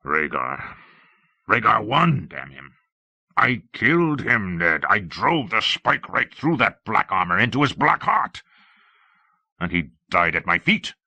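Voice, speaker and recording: A man voicing a bitter, weary, hot-tempered king in an audiobook, speaking bluntly and forcefully in drawn-out phrases. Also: low voice, big pitch swings, vocal fry, no room sound, heavily acted. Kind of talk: audiobook character acting.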